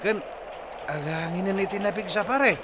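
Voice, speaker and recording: An actor playing an excitable, whiny village drinker in a Filipino radio comedy drama. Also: male voice, high voice, moderate pace, very noisy, close to an everyday voice. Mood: alarmed. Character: excitable drinking village husband with a battered house.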